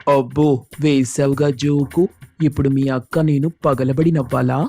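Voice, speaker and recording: Man as a bantering brother-in-law, mellow, evenly pitched, dry teasing, storybook drama, music behind. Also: flat delivery, a little slow. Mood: joking.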